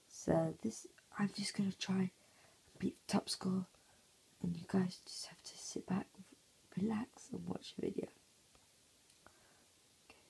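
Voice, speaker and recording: Hoarse, gravelly half-whispering young man. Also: high-pitched, a little mellow, low-quality recording. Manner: half-whispering to avoid waking sleepers. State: hoarse, croaky voice. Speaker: gameplay commentator. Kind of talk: casual mobile gameplay commentary. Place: home, early morning. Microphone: phone.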